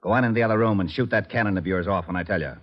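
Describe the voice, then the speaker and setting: An actor playing a gruff, exasperated police lieutenant in a 1940s radio detective drama, blustering and sarcastic. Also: male voice, low voice, rapid-fire. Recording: muffled old radio recording.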